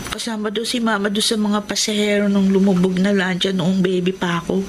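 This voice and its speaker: A woman's voice: medium pitch, monotone, a little fast, lightly acted. A woman with a Filipino accent playing a sweet, dutiful schoolgirl daughter in a radio drama. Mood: wistful. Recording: noisy radio drama recording.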